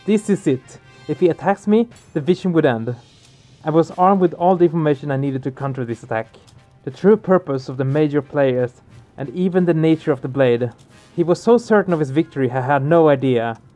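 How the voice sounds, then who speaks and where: A man's voice: a little high, a little fast, mellow, faint music behind. A man hosting a game let's play, expressively reading the story aloud and reacting to it.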